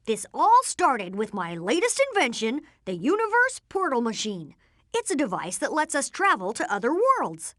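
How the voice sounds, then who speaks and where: Video game boy genius: earnest take-charge inventor, high and very lively, explains things precisely. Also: normal speed, no echo, studio quality, full character voice. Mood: explaining, confident. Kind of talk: animated video game voice acting.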